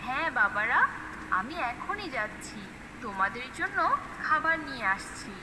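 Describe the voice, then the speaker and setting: A woman's voice: high-pitched, sing-song, moderate pace, noisy background, pitched below the performer's own voice. A woman voicing a protective mother duck in a cartoon, tender and reassuring yet firm. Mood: cautioning. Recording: echoey, loud music behind.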